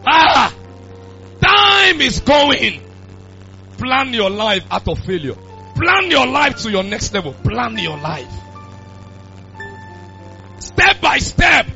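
A man, preaching a sermon with loud bursts of emphasis. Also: very high voice, sing-song, slow, vocal fry, pauses often. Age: 20s. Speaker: pastor. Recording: dull lo-fi sound with music.

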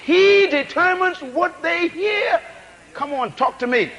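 Man preaching in a really high voice, pitch jumping all over, repeats himself and asks the room to talk back. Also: lively intonation, slow, vocal fry, dull audio. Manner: call-and-response, rhetorical questions, emphatic repetition; swings between shouted emphasis and low asides. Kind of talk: sermon.

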